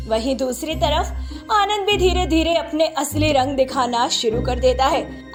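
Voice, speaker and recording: A woman reads a scripted cartoon story as its narrator. Her voice is high-pitched, and faint music plays underneath.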